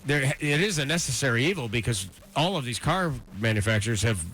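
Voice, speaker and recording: A man's voice: medium pitch, lively intonation, a little fast, fairly bright tone. An American man co-hosting a radio show with casual banter, asking questions and chiming in with his opinions. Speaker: radio morning show co-host. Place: radio studio.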